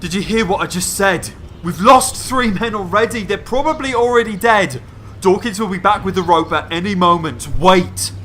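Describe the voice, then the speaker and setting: A man's voice: high voice, animated, normal speed, pushed and bright, slight background noise. A man acting an anxious, cautious workman in an audio drama, urgent and pleading. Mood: panicked. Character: anxious, cautious male mill worker pleading for patience.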